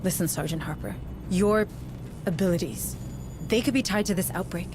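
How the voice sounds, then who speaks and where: Earnest, insistent woman, an actor as a game administrator over loud music. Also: fairly low pitch, normal speed, close to an everyday voice. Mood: urgent, insistent. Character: determined, outspoken female colony administrator. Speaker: deputy administrator. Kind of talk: video game voice acting.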